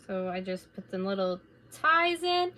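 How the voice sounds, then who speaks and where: A woman in her late twenties, slightly raspy from talking, chatting casually and rambling while she crafts at home on a live stream. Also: medium pitch, very animated, measured pace, bright, forceful tone, a little hiss or hum. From North America. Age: late 20s. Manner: rambling, chatty, laid-back with filler words.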